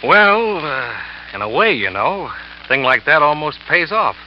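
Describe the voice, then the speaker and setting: An actor playing a smooth-talking, scheming salesman who narrates his own story in 1940s radio drama style, boastful and quietly cold. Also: fairly high pitch, big pitch swings, measured pace, a little hiss or hum. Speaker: narrator and lead character. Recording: dull old radio recording with music.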